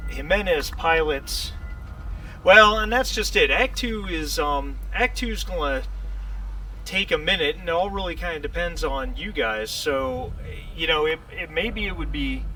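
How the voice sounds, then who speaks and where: A man acting as game master in casual tabletop session talk, speaking in a hesitant, halting way. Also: a little high, sharp tone. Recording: distant mic with faint background noise.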